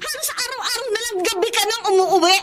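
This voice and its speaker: A woman's voice: very high voice, quick pace, background noise. A woman playing a demanding, sulky young girlfriend in a melodramatic radio drama, sounding aggrieved and complaining. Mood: furious, taunting.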